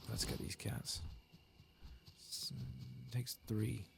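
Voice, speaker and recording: Male ASMR game streamer: deep, heavily creaky, hushed near-whisper commentary over clearly noisy ambience. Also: flat delivery, extremely slow. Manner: hushed, often drifting into whisper. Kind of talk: soft-spoken ASMR gameplay commentary.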